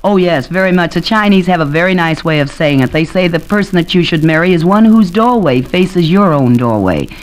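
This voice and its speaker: A woman with a fairly low voice and an American accent speaks as a learned guest on a 1940s radio panel. She explains her points like a lecturer, working through examples in a studio discussion. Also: expressive, a little fast, hardly any pauses. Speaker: expert panelist, academic doctor.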